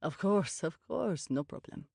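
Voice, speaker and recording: A woman acts out a middle-aged Indian landlord for an audiobook. He has a lilting British Indian accent and a mellow tone. His manner is formal, breezy and pleased with himself. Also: a little high, lively intonation, a little slow, creaky, no room sound, clean studio sound, full character voice. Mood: jovial, pompous. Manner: formal, effusive. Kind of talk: audiobook character acting.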